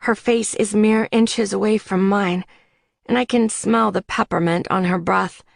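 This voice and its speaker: A woman's voice: medium pitch, fairly even intonation, unhurried, vocal fry, dry recording, clean studio sound. A woman reading scripted first-person fiction as an audiobook narrator.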